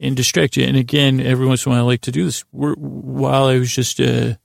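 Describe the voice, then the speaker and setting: A man's voice: medium pitch, flat delivery, fast, creaky, no room sound, clean studio sound. A man hosting a bedtime podcast, rambling in a goofy, self-deprecating way, stammering and interrupting himself. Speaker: podcast host.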